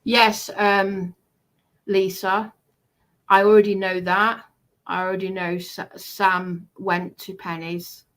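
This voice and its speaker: A woman giving casual commentary in a halting, deliberate way, repeating phrases for emphasis. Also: medium pitch, flat delivery, a bit bright.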